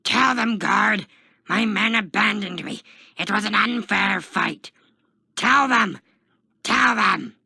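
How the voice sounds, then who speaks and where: A man reading a story, voicing an arrogant, defensive imprisoned supervillain in a gravelly, strained, pompous voice. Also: high-pitched, takes it slowly, no room sound, studio quality, pitched above the performer's own voice, heavily acted. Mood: indignant shouting. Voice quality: gravelly, strained fake-tough voice. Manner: pompous, shouting, emphatic.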